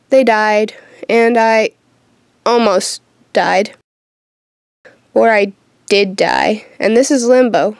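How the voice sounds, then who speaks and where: A young woman playing a sardonic, troubled teenage witch in an audio drama, with a wry, deadpan manner. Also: a little high, smooth, no echo, clean studio sound. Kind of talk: audio drama acting with first-person narration.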